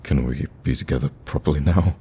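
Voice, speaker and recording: A man playing a lovestruck villain in an ASMR romance roleplay, awkward yet cocky, speaking softly and intimately with hesitant stammers. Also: very deep voice, fairly quick, a little hiss or hum. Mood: devoted, tender.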